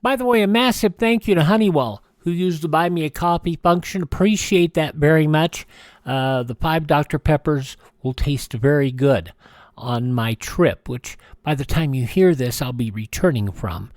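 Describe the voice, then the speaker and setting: A male radio host with a slightly high voice, recorded close and dry. He talks casually, wandering through his script and correcting himself along the way. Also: moderate pace, a little mellow, dry recording. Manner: rambling, self-correcting. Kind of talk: casual radio host chat reading celebrity birthdays and introducing old radio shows.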